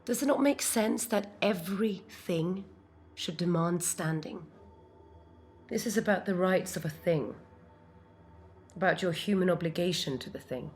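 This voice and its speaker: A woman's voice: on the low side, animated, a little mellow. A woman playing a defiant, sentient robot heroine in an audio drama, speaking in a dramatic, impassioned way that drops toward whispers. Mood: earnest, pleading. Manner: slow, dramatic, impassioned delivery dropping into whispers. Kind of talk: dramatized audio fiction monologue.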